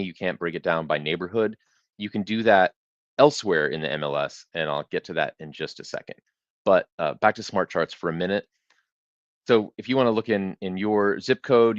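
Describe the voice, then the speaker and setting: A man's voice: low-pitched, dry recording, muffled recording. A man hosting a live real estate webinar, explaining data tools in a conversational way with frequent restarts.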